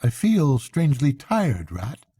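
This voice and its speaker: A gentle little mole from a bedtime storybook, voiced by a man. He is earnest and slightly hesitant. The tone is mellow and relaxed, in a dry, close recording. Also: medium pitch, takes it slowly, slightly rough, no room sound, pitched above the performer's own voice, heavily acted. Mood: weary, wondering.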